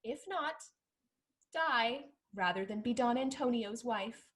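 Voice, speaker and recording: Woman playing a bold, high-voiced mischievous young lady. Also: expressive, slow pace, a bit bright. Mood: conspiratorial. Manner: theatrical, emphatic, archaic diction. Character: bold, mischievous young Spanish gentlewoman, scornful of men. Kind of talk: period stage comedy acting.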